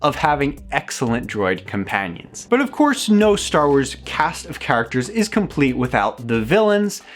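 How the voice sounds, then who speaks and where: A man's voice: medium pitch, very animated, normal speed, few pauses. A man hosting a review video, reading scripted commentary as a content creator.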